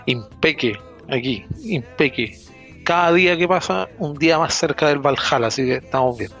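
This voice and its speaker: A man with a Chilean accent, a podcast panelist who explains international news in a relaxed way and often restarts his phrases. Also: a little high, moderate pace, faint music behind. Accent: Chilean Spanish. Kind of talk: casual podcast news roundup.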